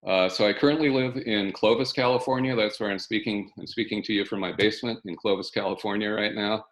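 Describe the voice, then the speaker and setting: Halting low-voiced man in his sixties. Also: fairly even intonation. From South Dakota, US. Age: 60s. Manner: measured, halting, with many restarts. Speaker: panelist, fire scholar. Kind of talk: online panel presentation. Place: home basement. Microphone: video call.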